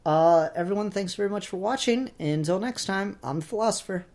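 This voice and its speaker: A man with a voice a little higher than most speaks as a podcast co-host. He chats casually and informally, in the style of a review discussion. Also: fairly quick, a little mellow.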